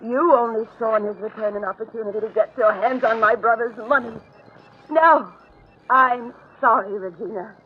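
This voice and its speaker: An actress playing an earnest, distraught but resolute wife in dramatic old-time radio horror style. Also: female voice, a little high, moderate pace, lightly acted. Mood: grave, pleading. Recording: tinny, noisy old radio recording.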